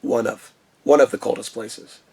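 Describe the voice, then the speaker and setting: A man with a booming voice talking through a personal vlog monologue in an impassioned, ranting way from his shed studio. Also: steady tone, normal speed, a little mellow, breathy edge. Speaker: vlogger.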